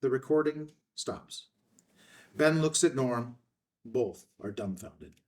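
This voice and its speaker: Male narrator for a script reading, medium pitch, a little away from the mic. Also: lively intonation, slow pace, far from the mic. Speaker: stage-direction narrator. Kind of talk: scripted narration, screenplay table read.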